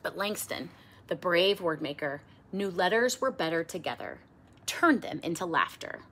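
A middle-aged woman reading a children's picture book aloud expressively, with theatrical emphasis and drawn-out words. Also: fairly low pitch, animated, fairly bright tone, a little hiss or hum. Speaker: storybook reader. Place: small indoor room with bookshelves.

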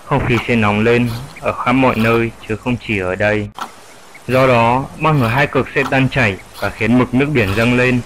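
A man's voice: medium pitch, steady tone, normal speed, natural voice. Patient old grandfather bat voiced by a man, noisy background. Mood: patient, explaining. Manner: explanatory, patient. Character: wise elderly male fruit bat, patient grandfatherly teacher. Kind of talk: dubbed educational cartoon dialogue.

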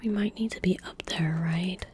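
A young woman speaking in a soft, breathy whisper, giving relaxed ASMR game commentary close to the mic. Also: on the low side, slight background noise. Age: young adult. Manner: relaxed, unhurried murmuring. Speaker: ASMR gaming streamer. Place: small indoor room at a computer desk. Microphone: large microphone close to the mouth. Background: keyboard typing and mouse clicks.

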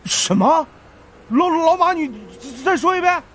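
Mouthy, high-pitched fat sidekick. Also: male voice, lively intonation, measured pace, a bit bright, a little hiss or hum, exaggerated voice. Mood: astonished. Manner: blunt, exclaiming, sardonic. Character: mouthy, irreverent fat man, sidekick investigator. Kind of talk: audiobook character acting.

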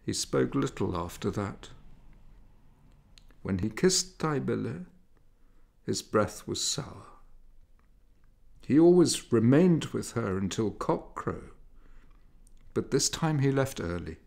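A man narrating a literary story in a measured, dramatic storytelling manner. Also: medium pitch, sing-song, gravelly, a little mellow. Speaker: audiobook narrator.

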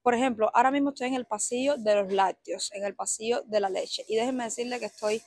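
A woman's voice: on the high side, fast talker, no echo, studio quality. Chatty Cuban woman vlogger. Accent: Cuban Spanish. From Cuba. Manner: chatty, excitable, addresses viewers directly. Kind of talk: casual walk-through vlog. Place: supermarket aisle.

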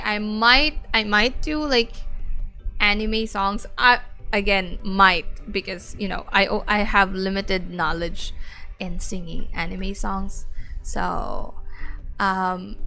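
A woman streamer with a bright, slightly raspy voice, talking unhurriedly to her chat. She rambles, restarts her sentences and laughs often. Soft music plays faintly underneath. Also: medium pitch. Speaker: VTuber streamer. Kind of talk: casual chat with stream viewers.

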